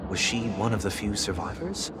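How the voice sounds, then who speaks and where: Refined, courteous young leader. Lowish, even-toned, over a heavy game score. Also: male voice, moderate pace. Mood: composed. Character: poised, polite young man of authority. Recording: loud game music behind.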